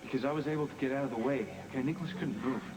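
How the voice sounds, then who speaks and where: An actor playing a shaken cave-in survivor in a TV soap opera, defensive and insistent. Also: male voice, mid-range voice, very fast, natural voice. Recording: noisy lo-fi TV soap rip.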